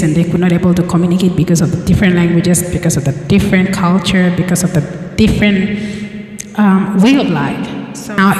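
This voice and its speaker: A woman's voice: fairly low pitch, mellow, echoey room, long reverb tail. A woman from Papua New Guinea with a Papua New Guinean English accent, earnestly explaining travel tips to camera and often restarting her phrases. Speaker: travel vlogger.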